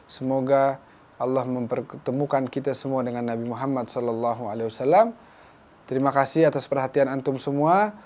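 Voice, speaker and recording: A young man with an Indonesian accent teaching a televised beginners' Arabic lesson, repeating phrases slowly and explaining each one. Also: mid-range voice, a little mellow. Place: small dark studio with whiteboard.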